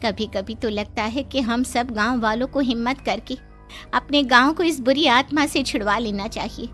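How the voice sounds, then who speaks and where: A woman's voice: on the high side, normal speed, fairly bright tone, faint music behind, lightly acted. A woman playing a fearful, fretting village wife and mother in a dramatized story. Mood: worried. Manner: dramatic, fretful. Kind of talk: Hindi audio story acting.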